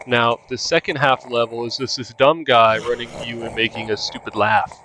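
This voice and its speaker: A man's voice: medium pitch, steady tone, fairly quick, pushed and bright, slight background noise. A man giving casual gameplay walkthrough commentary as a video game guide narrator.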